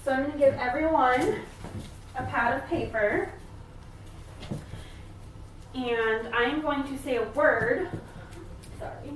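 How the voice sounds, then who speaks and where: A very echoey recording with faint noise carries a supportive family therapist, played by a woman. Her voice sits on the high side. She validates gently and hesitates often as she leads a role-played session. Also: slow pace, long pauses. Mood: calm, supportive. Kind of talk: improvised therapy role-play. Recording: echoey room, distant mic, faint noise.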